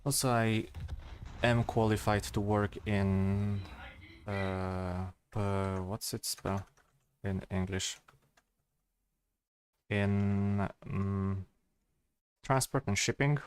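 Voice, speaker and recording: A man in his twenties with a Polish accent, chatting casually to stream viewers and thinking aloud, with pauses and fillers. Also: on the low side, fairly even intonation, a little hiss or hum.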